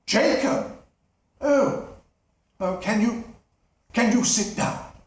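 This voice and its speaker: A man's voice: big pitch swings, unhurried. A man voicing the miser Scrooge as a frightened, stammering old man. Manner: shrill, panicky, stammering. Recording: echoey room, distant mic.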